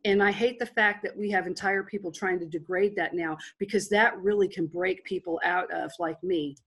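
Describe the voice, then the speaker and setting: A woman's voice: fairly low pitch, steady tone, moderate pace, fairly bright tone, husky. A woman in her forties, a business guest speaking frankly and at length over a video call on a headset. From Texas. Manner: frank and rambling, with restarts.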